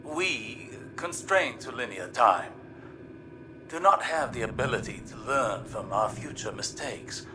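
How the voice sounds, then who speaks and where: A man playing a scholarly, courteous strategist in a video game, speaking formally and thoughtfully in deliberate phrases. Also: mid-range voice, animated, noisy background, lightly acted. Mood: gently probing. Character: scholarly male strategist, grave, courteous, questioning. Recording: music behind the voice.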